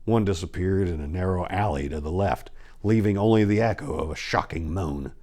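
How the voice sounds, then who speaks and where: A man's voice: low voice, a little fast, a little mellow. A man voicing a haunted, dread-stricken narrator of cosmic horror, reading theatrically and solemnly with swelling and sinking delivery. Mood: haunted, grim. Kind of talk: dramatic horror story reading.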